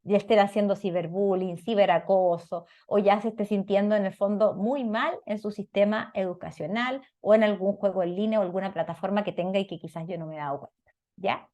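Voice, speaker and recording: A woman's voice: medium pitch, fairly even intonation, fairly quick, mellow. A woman with a Chilean Spanish accent giving an explanatory talk as a parenting lecturer, didactic and often checking in with short questions.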